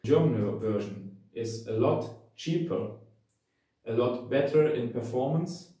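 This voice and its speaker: A man in his thirties speaks slowly and casually to camera. His voice is mellow and fairly low, heard from a little distance in a very echoey room. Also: lively intonation. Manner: spells out call signs in phonetic alphabet. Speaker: amateur radio operator and vlogger. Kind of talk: radio contact calls and casual talk to camera. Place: outdoors in open countryside, later a bare indoor room. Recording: windy noisy field or echoey room, distant.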